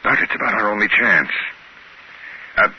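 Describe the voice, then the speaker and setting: A man's voice: lowish voice, animated, moderate pace, natural voice. An actor playing a shrewd, suspicious trading-post man speaking in a conspiratorial way in a 1950s radio drama. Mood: scheming, uneasy. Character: shrewd, suspicious male trading-post partner hatching a plan. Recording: hissy, muffled 1950s radio recording.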